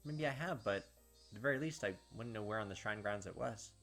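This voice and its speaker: Low-voiced man reading a game aloud. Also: brisk, a bit bright, faint music behind. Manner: casual, slangy asides. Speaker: let's-play host. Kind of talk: reading visual novel text aloud with casual commentary.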